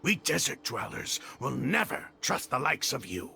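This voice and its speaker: An actor playing a proud, hostile, zealous desert mercenary leader in a video game, scornful and grandiose in a theatrical way. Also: male voice, medium pitch, sing-song, moderate pace, gravelly, pushed and bright, slight background noise, heavily acted. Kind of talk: dramatic video game voice acting. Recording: background music under the voice.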